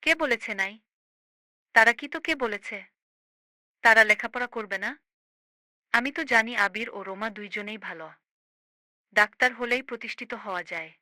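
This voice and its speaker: A woman's voice: on the high side, pushed and bright, no room sound. Woman acting a piercing, admonishing big sister. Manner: admonishing, preachy, emphatic. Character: firm, caring older sister lecturing her grown brother. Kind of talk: amateur cartoon voice acting.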